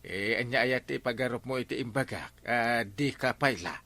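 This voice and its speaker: A man's voice: mid-range voice, normal speed, pushed and bright. A man playing a sly, hesitant village friend in a comedy radio drama, stammering evasively with an Ilocano accent. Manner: stammering, evasive, full of hems and haws. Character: hesitant, sly village buddy angling for a favour.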